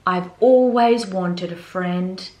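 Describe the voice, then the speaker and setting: A woman's voice: lowish voice, slow pace, dark tone, reverberant, dull audio. A woman voicing a small, naive male toy teddy bear, full of wistful wonder, for a storybook. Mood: content, tender.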